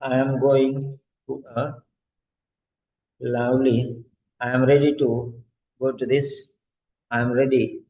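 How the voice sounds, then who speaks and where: Frail ninety-year-old client, as a man reads him out, slow halting phrases, dull lo-fi sound. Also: medium pitch, little pitch movement, warm tone, slight background noise.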